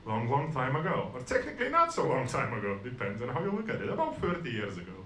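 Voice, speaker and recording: A man delivers stand-up in a dry, self-deprecating way, often stumbling and restarting his sentences. His voice sits at an ordinary middle pitch. The room is echoey, with a faint hiss under him. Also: wildly swooping pitch, quick pace, sharp tone. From Estonia. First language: Russian and Estonian. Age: 40s. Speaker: stand-up comedian. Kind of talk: stand-up comedy set.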